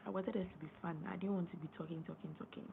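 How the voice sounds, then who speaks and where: A young woman with a hoarse, gravelly, low-set voice, talking loosely on a late-night live stream. She rambles, repeats words and keeps clearing her throat. The sound is thin and phone-like, with a faint hiss behind her. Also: fairly even intonation, very fast. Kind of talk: casual live-stream talk. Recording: tinny phone-line sound, faint noise.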